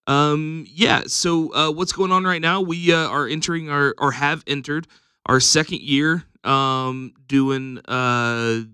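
Podcast guest, male, bright crisp tone, hesitant with lots of "uh", dry close mic. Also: on the high side, steady tone, slow pace, no room sound. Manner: hesitant, many fillers. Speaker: comedy showcase producer and comedian. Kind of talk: casual podcast chat.

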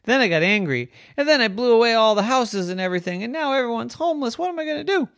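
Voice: mid-range voice, sing-song, a little slow, pushed and bright, dry recording, studio quality, pitched above the performer's own voice, full character voice. A storyteller voicing a lonely, earnest wind character in a children's story, prone to emotional outbursts. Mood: remorseful. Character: Harry, lonely sad wind growing frustrated and pleading.

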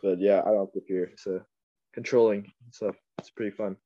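A young man from Taiwan, a podcast guest chatting casually, laid-back, laughing often and trailing off quietly. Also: on the low side, fairly even intonation, normal speed, dull audio. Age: young adult. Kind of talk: casual chat.

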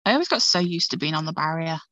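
A woman's voice: deep voice, expressive, fast, pushed and bright, dry recording. A woman in her thirties, co-hosting a podcast in a chatty, laughing casual talk full of "like". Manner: chatty, says "like" a lot, laughs often. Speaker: podcast co-host. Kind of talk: casual chat. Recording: dull lo-fi audio, dry.

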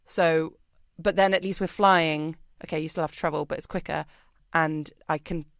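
A British woman being interviewed on a podcast, talking in a chatty, expressive way with plenty of ums and likes. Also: low voice, normal speed, no echo, clean studio sound. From Britain. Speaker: podcast guest. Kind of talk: casual interview chat.

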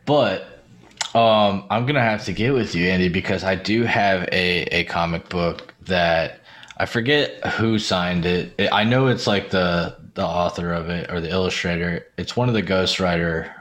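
A man with a very low voice hosts a loose, casual podcast chat. He talks in slangy, unfiltered language and swears freely, as if among friends. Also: moderate pace, a bit bright. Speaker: podcast host. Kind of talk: casual profane group chat. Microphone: remote group call.

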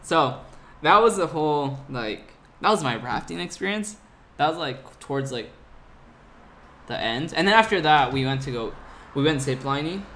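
Young california guy rambling thru a travel story, says like a lot, kinda high voice, faint hiss. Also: moderate pace. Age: young adult. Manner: animated, laughing storyteller with lots of "like". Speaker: podcast guest. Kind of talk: casual storytelling. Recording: faint background noise.

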